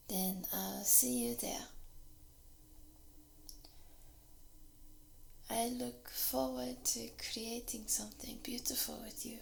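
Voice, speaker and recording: A woman with a Japanese accent playing a warm, gently teasing workshop teacher in an ASMR roleplay, soft-spoken and often slipping into breathy whispers, close to the microphone. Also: fairly high pitch, fairly even intonation, takes it slowly, long pauses, slight background noise, heavily acted. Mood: fond, playful. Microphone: close binaural microphone.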